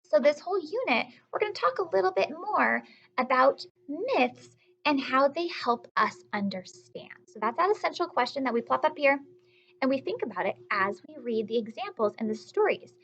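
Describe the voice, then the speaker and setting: A woman with a high, very expressive voice teaches young children by reading aloud. She explains things simply and checks in with questions, stretching key words like a storyteller. The recording is a little dull and lo-fi. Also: moderate pace, slight background noise.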